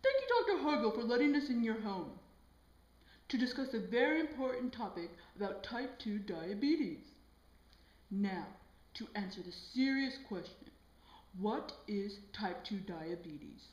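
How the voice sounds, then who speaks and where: An earnest, polite officer acted by a woman asks scripted interview questions. The delivery is slow at a medium pitch, on an echoey recording. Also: very animated, darkish tone. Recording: dull, echoey room recording.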